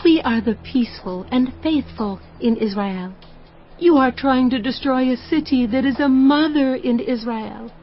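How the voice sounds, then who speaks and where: An actress playing a wise, bold townswoman of ancient Israel in a dramatized audio Bible, deliberate and declamatory. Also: sits high. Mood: earnest appeal. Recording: dull band-limited audio with music bed.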